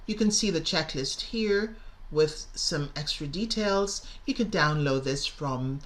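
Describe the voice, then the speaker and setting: Low-pitched woman teacher, deliberate delivery. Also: lively intonation. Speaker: English teacher and presenter. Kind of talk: instructional video lesson, scripted narration.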